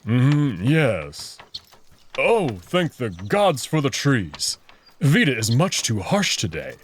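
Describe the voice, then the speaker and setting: Slow, proud wolf nobleman played by a man. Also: mid-range voice, wildly swooping pitch, exaggerated voice. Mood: awkward. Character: proud, sulky young male alien wolf noble. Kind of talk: visual novel voice acting.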